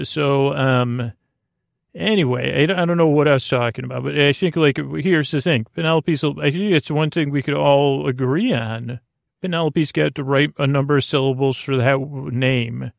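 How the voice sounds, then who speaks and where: A man hosting a sleep podcast in a meandering, halting monologue, whimsical and self-deprecating, stammering and circling back on his words. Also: mid-range voice, creaky, dry recording, clean studio sound. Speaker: host of a bedtime story podcast.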